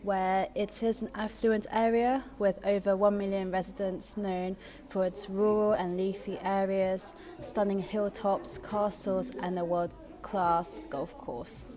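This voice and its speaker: A woman's voice: medium pitch, flat delivery, unhurried, hardly any pauses, warm tone. A young woman, born in Taiwan and raised in England, talking earnestly about her art and faith, with frequent ums and restarts. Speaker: artist.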